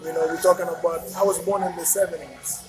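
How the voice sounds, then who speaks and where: A man's voice: on the high side, steady tone, quick pace, over music. A man, answering interview questions in a loose, rambling way full of fillers and repeats. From Haiti. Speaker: interview guest. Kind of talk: casual conversational interview.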